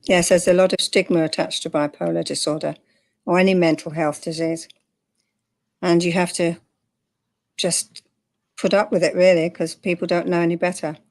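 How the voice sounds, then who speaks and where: An older woman from England, calmly and plainly sharing her story as an interview guest. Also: fairly low pitch, moderate pace, long pauses. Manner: calm, matter-of-fact, with light laughs. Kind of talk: candid personal interview.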